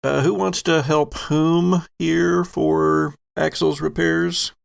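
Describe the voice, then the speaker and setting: Slow gravelly game master man. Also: fairly high pitch, flat delivery. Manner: measured, descriptive. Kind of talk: narrating a tabletop game.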